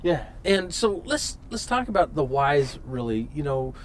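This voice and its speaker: A man's voice: fairly high pitch, big pitch swings, measured pace, a little hiss or hum. A man hosting an interview in a conversational way, putting questions and thinking aloud.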